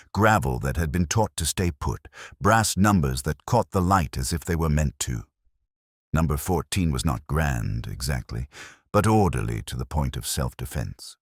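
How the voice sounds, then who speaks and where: A man narrating a scripted mystery story in a measured, literary manner. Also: a bass voice, slightly rough, dry recording, clean studio sound. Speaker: audiobook narrator.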